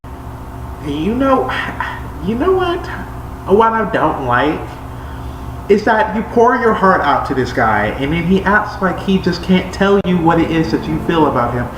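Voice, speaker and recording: A man's voice: high-pitched, big pitch swings, measured pace, pitched above the performer's own voice. A man voicing a melodramatic drunk young woman, wounded and rambling, in a comedic vlog story. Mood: indignant ranting. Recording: roomy echo, faint background noise.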